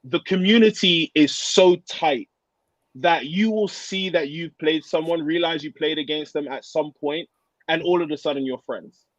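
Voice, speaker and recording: A man's voice: on the high side, moderate pace, no echo, low-quality recording. A man of thirty chatting casually as a podcast guest, in an informal, slangy and earnest way. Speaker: guest, American football player.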